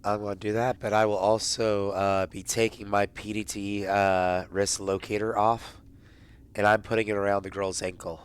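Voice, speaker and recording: A man's voice: lowish voice, level tone, normal speed, clean tone. A man improvising in character as a player in a tabletop role-playing game.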